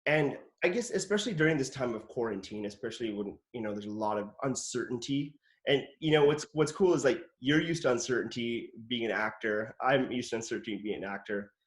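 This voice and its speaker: A man's voice: mid-range voice, fairly quick, hardly any pauses. Chatty enthusiastic man in an echoey room. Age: late 30s. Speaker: host, actor.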